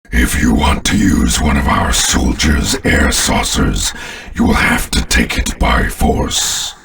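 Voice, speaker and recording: A man voicing a sinister, contemptuous alien warlord in a video game, giving pompous, commanding battle orders in a gravelly voice. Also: a bit bright, far from the mic, a little hiss or hum, heavily acted. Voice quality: very deep, gravelly. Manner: slow, pompous, disdainful, commanding. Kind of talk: scripted video game character lines.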